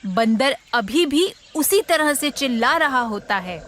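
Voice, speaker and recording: A woman's voice: high-pitched, lively intonation, slow, a bit bright. A woman giving scripted narration of a children's story.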